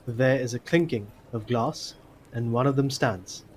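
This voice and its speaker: A man's voice: mid-range voice, measured pace, a little mellow. Man narrating an audio play, faint noise. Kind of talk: scripted narration.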